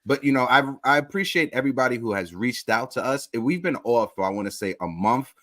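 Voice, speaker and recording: A man in his thirties hosting a casual sports talk livestream, giving upbeat shout-outs to viewers in the chat. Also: medium pitch, lively intonation, fast talker. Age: 30s. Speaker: podcast host.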